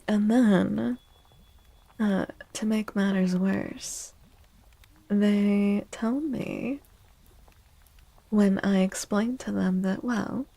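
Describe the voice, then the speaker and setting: Slow, hushed, husky woman doing soft-spoken ASMR. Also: mid-range voice, no room sound, low-quality recording. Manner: hushed, often slipping into near-whisper. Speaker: ASMR streamer, tarot reader.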